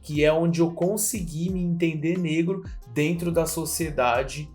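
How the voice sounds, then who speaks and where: A male teacher looks back on his childhood in a calm, reflective voice. Soft guitar music plays behind him. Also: fairly high pitch, unhurried. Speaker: public school teacher. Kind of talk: personal testimony to camera.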